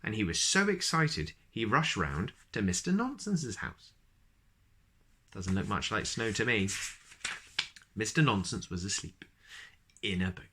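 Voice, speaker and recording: A man reading children's storybooks aloud as host, expressive and speaking directly to young listeners. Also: on the low side, unhurried, pauses often, slight background noise.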